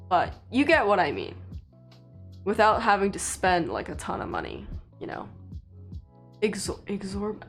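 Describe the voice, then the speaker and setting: A young woman from China, a native Mandarin speaker, chatting casually with viewers on a livestream in a relaxed, rambling way full of fillers. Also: mid-range voice. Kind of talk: casual live-stream chat with viewers.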